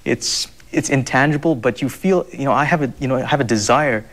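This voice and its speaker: A man's voice: medium pitch, brisk. A young man from Zambia, answering interview questions earnestly, with many fillers and repeated words. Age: young adult. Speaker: guest.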